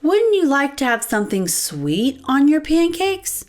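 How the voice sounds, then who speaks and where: Patient mother in a storybook, read by a woman, instructing slowly with very lively intonation. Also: high-pitched, pitched above the performer's own voice. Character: patient, instructive farm mother cooking with her son. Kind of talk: children's storybook read-aloud.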